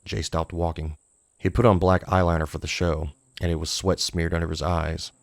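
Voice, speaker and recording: Deep, gravelly male horror narrator. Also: level tone, normal speed, no echo. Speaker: audiobook narrator. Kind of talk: scripted horror story narration.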